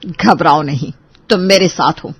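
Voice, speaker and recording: A woman's voice: low-pitched, measured pace, slightly rough, full character voice. A woman playing a tough, protective lawyer elder sister in an audio story, assertive yet reassuring. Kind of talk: Hindi audio story acting.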